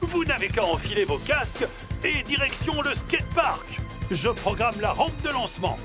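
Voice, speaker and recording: A woman voicing a kindly old male grandfather truck in an encouraging, animated children's cartoon style. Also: on the high side, moderate pace. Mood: rousing. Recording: loud music behind the voice.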